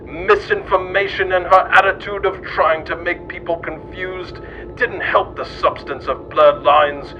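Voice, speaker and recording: A man's voice: fairly even intonation, a little slow, music in the background. A man reading a scripted, absurd comic history as its narrator. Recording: muffled, noisy recording with low rumble.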